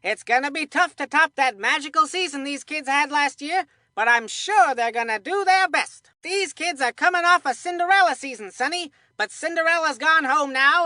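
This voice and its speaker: A woman voicing a folksy, excitable retired baseball commentator for a children's cartoon sports video game. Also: high-pitched, dry recording, studio quality. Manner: folksy, colloquial, exclamatory.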